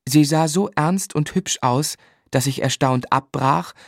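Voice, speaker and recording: A man's voice: fairly high pitch, a little slow, gravelly, no room sound, clean studio sound. An actor playing a grown man who recalls his boyhood, narrating a literary radio drama in the first person. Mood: reflective. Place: dry studio.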